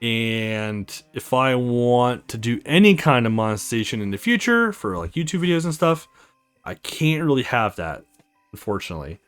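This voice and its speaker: Expressive man doing casual gaming commentary. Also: medium pitch, moderate pace, smooth, no room sound, soft background music. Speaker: let's play host. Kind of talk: casual gaming commentary and reading aloud a visual novel.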